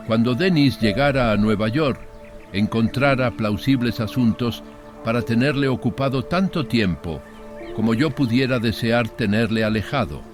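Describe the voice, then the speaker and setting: Expressive male horror narrator over music. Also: mid-range voice. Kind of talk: scripted horror story narration. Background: background music.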